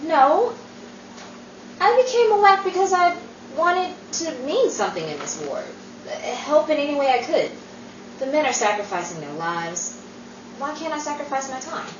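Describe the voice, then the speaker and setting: A woman's voice: high-pitched, very animated, normal speed, distant mic, dull audio. A woman acting an earnest, emotional young army woman in a 1940s-style radio drama, in a noisy, echoey room.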